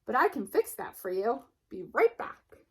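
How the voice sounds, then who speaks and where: A woman's voice: a little high, big pitch swings, normal speed, fairly bright tone, husky, full character voice. A woman voicing a bubbly, self-assured female sheep in a picture book, chirpy and eager. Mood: confident. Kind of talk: picture book read-aloud.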